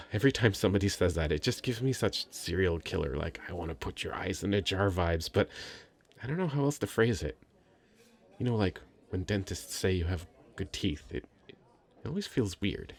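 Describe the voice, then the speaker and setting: A man's voice: sits low, expressive, normal speed, husky, heavily acted. A man playing a shy, sweet, nervous young nerd in an intimate ASMR roleplay, stammering and rambling self-consciously. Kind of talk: scripted ASMR roleplay, intimate conversational acting.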